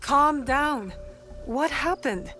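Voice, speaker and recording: A resolute young heroine with a fairly high voice. She speaks directly and earnestly in game cutscene style, with music softly behind her. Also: extremely slow, full character voice. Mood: concerned. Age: young adult. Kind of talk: video game cutscene acting.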